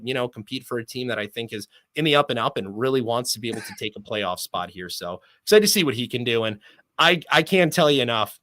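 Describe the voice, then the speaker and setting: Guy doing sports talk as a guest, bright punchy voice, really enthusiastic and wordy, no room echo. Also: mid-range voice. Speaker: sports broadcaster interviewed as guest.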